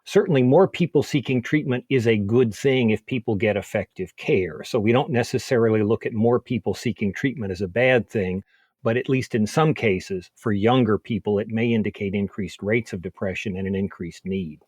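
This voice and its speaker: Casting: male expert interviewee, lowish pitch, measured and methodical explainer, dry recording. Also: a little mellow, slightly rough, dry recording. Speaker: expert guest, psychiatrist and researcher.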